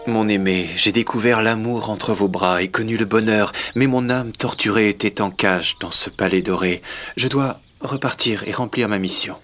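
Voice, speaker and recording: An actor playing an earnest young prince turned spiritual seeker in an animated film, speaking formally and solemnly. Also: male voice, on the low side, moderate pace, close to an everyday voice. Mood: solemn regret. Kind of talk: French animated film dubbing, formal literary dialogue. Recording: music behind the voice.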